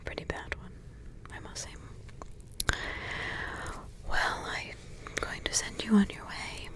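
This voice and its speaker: A woman voicing a doting grandmotherly witch in an ASMR roleplay, with a soft, tender whisper and rambling asides. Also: slow pace, lightly acted. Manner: tender, sidetracked asides, affectionate endearments.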